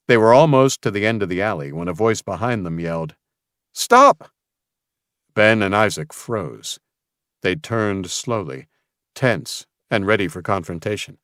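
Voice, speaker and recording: A man's voice: fairly low pitch, no room sound, studio quality. A man reading a scripted story as a dramatic audiobook narrator.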